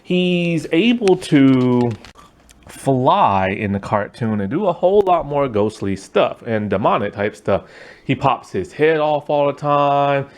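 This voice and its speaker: A man casually reviewing a cartoon, rambling with lots of "like" and "you know" and sounding enthusiastic. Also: slow pace. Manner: enthusiastic, lively pitch swings.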